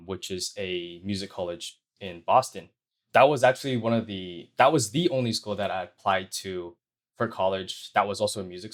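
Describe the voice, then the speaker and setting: A young man who grew up in China, talking about his career as a guest on a podcast in a measured, thoughtful way. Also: lowish voice. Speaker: guest, sound designer. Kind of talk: interview answers.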